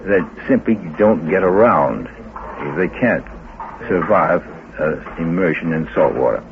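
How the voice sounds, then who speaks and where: A man with a very deep voice gives expert answers in an interview. He builds sentences haltingly, in deliberate short bursts. The sound is phone-like, with noticeable chatter in the background. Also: animated. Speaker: scientist interviewee. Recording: phone-like old recording, chatter behind.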